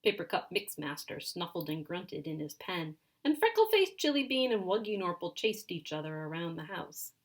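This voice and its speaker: A woman reading a children's story aloud in an animated, expressive and emphatic way. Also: fairly low pitch, normal speed, hardly any pauses. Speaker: children's story reader, speech and language pathologist.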